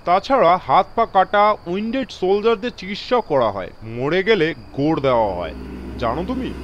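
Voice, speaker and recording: Man acting an animated, high-pitched railway guard, alarmed and emphatic. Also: normal speed, dull audio, slight background noise. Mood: brusque, knowing. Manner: emphatic, mixes English phrases into his speech. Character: alarmed, authoritative railway guard telling of strange events. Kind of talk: dramatised horror audio story.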